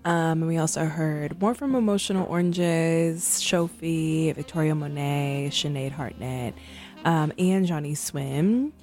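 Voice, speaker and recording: A woman's voice: deep voice, measured pace, darkish tone. A woman in her twenties hosting a radio music show, chatting casually between songs with many ums and likes. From San Francisco. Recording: faint music behind.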